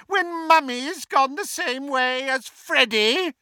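A man's voice: extremely high pitch, slow pace, pushed and bright, no room sound, clean studio sound, voice pushed up, exaggerated voice. A man voicing a mad, gloating witch villain in an audiobook, mocking and gleeful as she taunts.

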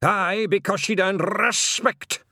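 A man's voice: high-pitched, fairly even intonation, slow pace, sharp tone, dry recording, clean studio sound, pitched above the performer's own voice, heavily acted. A man voicing a tiny, proud fairy clansman who talks bluntly and emphatically with a Scottish accent in an audiobook. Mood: indignant, scolding.

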